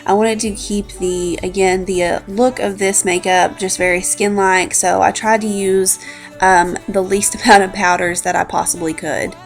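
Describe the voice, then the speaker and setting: A woman's voice: medium pitch, fairly even intonation, normal speed. A woman in her twenties with a congested, slightly scratchy voice, chatting informally as a beauty vlogger narrating a makeup routine. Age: 20s. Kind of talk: casual makeup tutorial narration. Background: background music.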